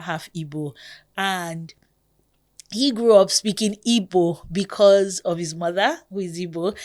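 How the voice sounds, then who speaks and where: A woman in her thirties from Nigeria with a Nigerian accent, hosting a podcast in a chatty, expressive way. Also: lowish voice. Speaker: podcast host. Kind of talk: casual podcast monologue.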